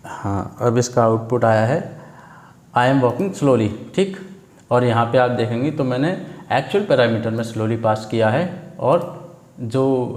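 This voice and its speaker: A man's voice: medium pitch, darkish tone. A man with an Indian accent giving an informal programming tutorial, explaining step by step in a didactic way, often posing questions and answering them himself with frequent check words. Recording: echoey, roomy sound.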